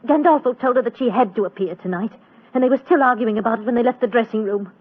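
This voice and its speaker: An actress in a 1940s radio drama playing a frightened, defiant young magician's assistant, curt and emotional. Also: female voice, a little high, fast talker, narrow, tinny audio, natural voice. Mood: frightened, pleading. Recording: thin, band-limited old radio recording.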